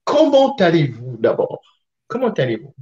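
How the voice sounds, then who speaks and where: A man's voice: fairly high pitch, wildly swooping pitch, moderate pace, mellow, muffled recording. A man from Gabon, co-hosting a live interview with formal introductions and many hesitant fillers, speaking over a remote call. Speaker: co-host.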